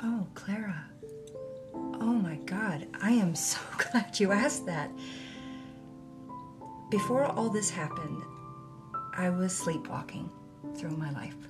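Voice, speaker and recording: An actress playing an earnest, admiring young granddaughter in an audio drama, speaking sincerely and a little haltingly. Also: female voice, mid-range voice, lively intonation, music in the background. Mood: moved, grateful. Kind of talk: audio drama acting, conversational.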